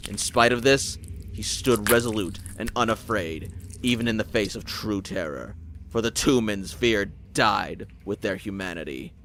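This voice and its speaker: A man's voice: lowish voice, moderate pace, a bit bright, a little hiss or hum. A man giving a theatrical, intense scripted narration as a storyteller. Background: music.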